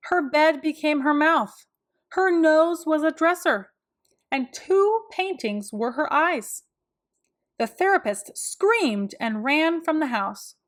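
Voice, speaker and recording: A woman reads a children's story aloud in a high voice. Her pace is very slow, and her delivery is expressive, made for young listeners.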